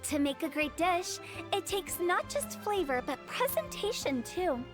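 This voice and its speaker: A playful, cocky young woman from a video game, talks in a very high voice. She is theatrical and teasing, showy with every line, over music. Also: very animated, a little slow, full character voice. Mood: confident, explaining. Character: playful, theatrical, cocky young female funeral director and poet.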